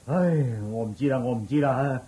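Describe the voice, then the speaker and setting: Whining, squeamish Cantonese attendant, higher-voiced. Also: male voice, sing-song, a little slow. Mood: impatient. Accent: Hong Kong Cantonese. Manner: exclaiming, whining, nagging. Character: squeamish, grumbling uncle-like attendant, adult man. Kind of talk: Buddhist story radio drama acting.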